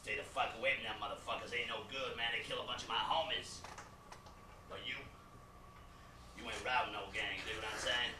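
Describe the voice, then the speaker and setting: A man's voice: medium pitch, fairly even intonation, a little fast, long pauses, roomy sound, far from the mic, background noise, close to an everyday voice. A man playing a swaggering, profane prison mentor. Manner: slangy, profane, swaggering street talk. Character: streetwise male gang inmate mentor, swaggering.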